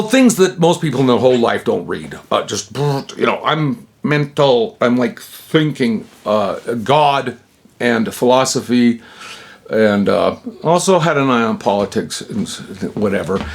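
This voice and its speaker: A man's voice: medium pitch, sing-song, measured pace, creaky. Man, 60s, from Utah. Rambling vlogger-storyteller, self-interrupting, chuckly, slangy. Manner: tosses in slangy asides.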